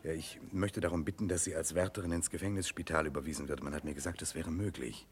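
An actor playing an earnest, courteous prince troubled by injustice, in a formal, measured radio-play manner. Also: male voice, a bass voice, fairly even intonation. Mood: earnest, insistent. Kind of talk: mid-century German radio play acting.

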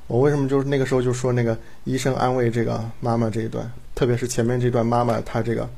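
A man's voice: medium pitch, little pitch movement, fast, vocal fry, warm tone. A man in casual chat, praising at length in an effusive, wordy way and repeating himself for emphasis.

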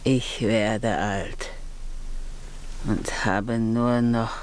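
An actress playing a devoted, plain-spoken old servant and nurse in a 1950s German radio play, slow and musing, often sinking to a murmur. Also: female voice, a bass voice, fairly even intonation, darkish tone, a little hiss or hum, full character voice. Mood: weary, musing.

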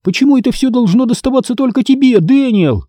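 A man voicing an excitable, indignant young girl in a storybook, insistent and exclamatory. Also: high voice, normal speed, dry recording, studio quality, pitched above the performer's own voice.

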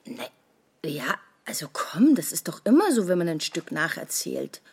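A woman playing an irritable, insistent actress in naturalistic radio drama dialogue, with a slightly husky, breathy voice. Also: low-pitched, sing-song, normal speed, exaggerated voice. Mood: casual, explaining. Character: irritable, insistent actress debating a role with colleagues.

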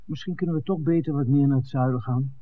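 An actor playing an eager, easygoing filmmaker with an eye for women in a 1960s Dutch radio drama. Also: male voice, medium pitch, steady tone, fast talker, close to an everyday voice. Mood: friendly, keen. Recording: muffled, narrow old radio recording.

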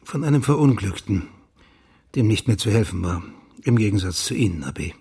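Husky, guarded police official. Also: male voice, lowish voice, animated, brisk, warm tone. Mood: placating. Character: sceptical, probing senior police official, guarded and dry. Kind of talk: German radio crime drama acting.

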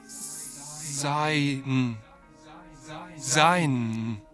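An actor in a radio play voicing an otherworldly being who stammers out single words, groping for speech. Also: male voice, mid-range voice, extremely slow, fairly bright tone, soft background music. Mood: straining. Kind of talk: experimental radio play acting.

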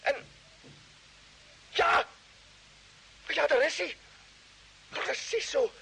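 A man playing an excited, awestruck Mars expedition commander in a vintage radio drama, repeating words urgently over a radio link. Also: extremely high pitch, drawn-out words, creaky, exaggerated voice.

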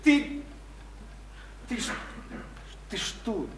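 An actor playing a bitter, wounded would-be writer in a radio play, ranting scornfully with stammered repetitions. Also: male voice, high-pitched, sing-song, very slow, exaggerated voice. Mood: furious ranting. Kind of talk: theatrical radio play acting. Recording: roomy, faintly noisy 1991 radio play recording.

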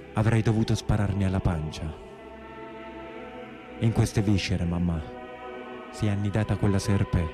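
An actor playing an imprisoned brigand in a radio play, plain-spoken and anguished, with a southern Italian accent and colloquial, dramatic delivery. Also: male voice, fairly low pitch, natural voice. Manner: colloquial, dialect-laced, dramatic. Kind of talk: theatrical monologue in a radio play.

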